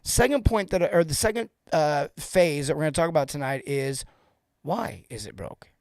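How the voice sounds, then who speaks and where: A man in his forties hosting a casual live-stream coaching talk, joking and rambling off into asides with informal, animated banter. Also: on the high side, normal speed, dry recording, studio quality. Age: 40s. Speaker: host and sales coach for plumbers.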